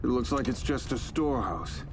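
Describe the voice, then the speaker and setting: An actor playing a martial, emphatic medieval soldier in a video game cutscene. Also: male voice, medium pitch, lively intonation, moderate pace, a little hiss or hum, lightly acted. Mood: questioning.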